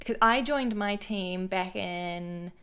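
A young woman co-hosting a podcast, chatting in a relaxed, upbeat way with lots of "like" fillers. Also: medium pitch, slow, clear, resonant tone. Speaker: podcast co-host. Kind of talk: casual chat.

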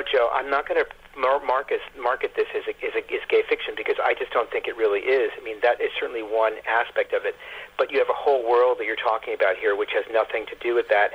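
A middle-aged or older man, a novelist being interviewed, speaking reflectively and haltingly, repeating words as he searches for phrasing. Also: mid-range voice. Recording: muffled, husky-sounding telephone-like radio line.

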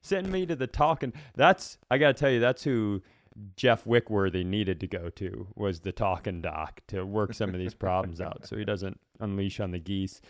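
A man with a clear, smooth voice on the low side, recorded close and dry. He talks casually like a podcast comedian, rambling through self-deprecating stories. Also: normal speed, no room sound.

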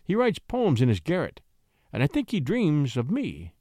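A man's voice: fairly high pitch, wildly swooping pitch, normal speed, warm tone, no echo, studio quality. A man reading a storybook, voicing a sly, coaxing countess who pleads breathily. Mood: confident, scheming. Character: scheming countess, sly, coaxing, feigning innocent distress.

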